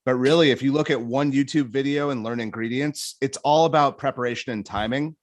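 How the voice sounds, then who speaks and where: A man's voice: mid-range voice, a little fast, no echo. A man chatting casually as a guest, joking about himself and laughing easily. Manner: laughing, joking, self-mocking. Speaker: guest, former competition show contestant. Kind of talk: casual group chat.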